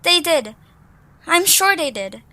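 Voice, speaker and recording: A woman's voice: very high-pitched, sing-song, takes it slowly, pushed and bright, heavily acted. A woman voicing an earnest young creature child in an amateur audio story. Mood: reassuring. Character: earnest, worried little Zoombini child. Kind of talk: amateur audio drama acting.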